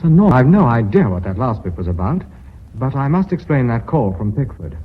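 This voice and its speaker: A brisk British company boss in a radio play. His voice is husky and swings widely in pitch, earnest and formal, on a muffled old recording. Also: very animated. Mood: businesslike. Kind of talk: British radio drama acting.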